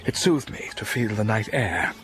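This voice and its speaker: An actor playing a blind, scarred older gentleman in a radio drama, speaking with intense, impassioned feeling. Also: fairly low pitch, lively intonation, moderate pace, slight background noise. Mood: brooding.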